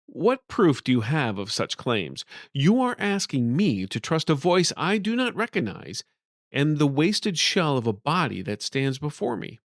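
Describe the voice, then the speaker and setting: A man's voice: fairly high pitch, sing-song, unhurried, dry recording, voice pushed up. Young swordsman nephew , read by a male narrator, suspicious and demanding, fantasy audiobook, dry close sound. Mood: wary, demanding.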